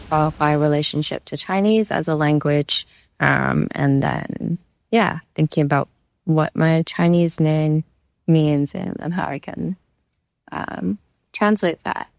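A young woman from Canada whose first language is Cantonese, talking about her art in a hesitant, reflective way with many pauses and fillers. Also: deep voice, no room sound. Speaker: artist. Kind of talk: casual conversation.

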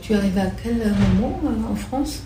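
A woman's voice: fairly high pitch, animated, a little fast, far from the mic. A woman interviewer asking casual, conversational questions. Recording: echoey room, faint background noise.

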